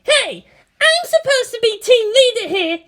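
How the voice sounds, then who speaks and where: A woman's voice: very high voice, sing-song, measured pace, fairly bright tone, voice pushed up, heavily acted. A woman voicing a haughty, fiery teenage girl pilot in a story, boastful and scornful. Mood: indignant.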